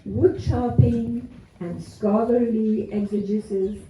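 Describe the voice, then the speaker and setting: A man's voice: high voice, steady tone, slow pace, mellow. A man in his seventies, from the United States, reading a prepared introduction of a speaker with dry humor at a community gathering. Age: 70s. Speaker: event host introducing a speaker. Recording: roomy, distant mic with faint noise.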